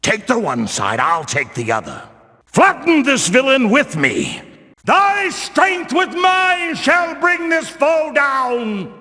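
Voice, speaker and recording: An actor playing a stern, zealous religious warrior guard in a fantasy video game, speaking in pious, archaic, declamatory phrases. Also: male voice, very high voice, wildly swooping pitch, slow pace, sharp tone, heavily acted. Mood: battle fury. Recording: long reverberant hall echo.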